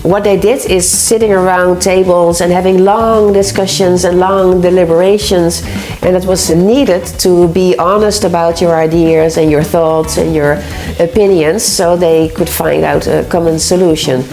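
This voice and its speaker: A woman, an expert explaining communication styles in a measured interview. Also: on the low side, steady tone. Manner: measured, explanatory, some hesitations. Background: soft music.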